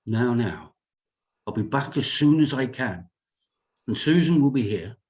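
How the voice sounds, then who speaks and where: A gentle, thoughtful father acted by a man with a medium-pitched voice. He speaks as a soothing, reassuring doctor comforting a small child in a horror drama. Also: lively intonation, a little slow, lightly acted. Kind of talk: dramatic script table read.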